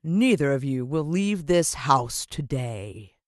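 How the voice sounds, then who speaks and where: Woman playing a slow, menacing storybook villain man. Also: extremely low pitch, sing-song, a little mellow, no echo, studio quality, heavily acted. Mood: cold, threatening. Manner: slow, deliberate, ominous delivery. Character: menacing, gloating villain man who commands ants.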